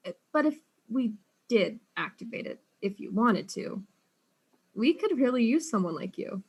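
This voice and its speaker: A woman playing a brisk, wry, world-weary detective in a comedic audio drama. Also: a little high, sing-song, husky, full character voice.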